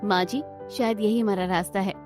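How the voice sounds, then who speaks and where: A woman's voice: mid-range voice, fairly even intonation, brisk, darkish tone, faint music behind, lightly acted. A woman playing a polite, determined young daughter-in-law in a cartoon story, sincere in manner. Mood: hopeful.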